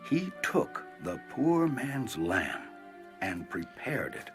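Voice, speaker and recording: An actor playing a solemn, stern prophet pronouncing judgment in a measured, dramatized scripture reading. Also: male voice, mid-range voice, very animated, over music. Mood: solemn storytelling. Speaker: audio Bible voice actor.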